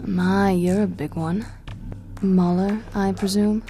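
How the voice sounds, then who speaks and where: An actress playing a defiant, sardonic, vengeful action heroine in a video game cutscene, speaking deliberately. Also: female voice, medium pitch, flat delivery. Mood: mocking. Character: cool, defiant, vengeful female action heroine, half-vampire agent. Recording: game audio under loud music.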